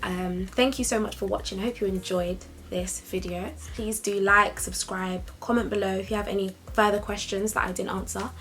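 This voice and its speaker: A woman's voice: medium pitch, normal speed, hardly any pauses. Chatty young woman vlogging. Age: young adult. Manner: chatty, lively and upbeat. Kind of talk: casual talk to camera.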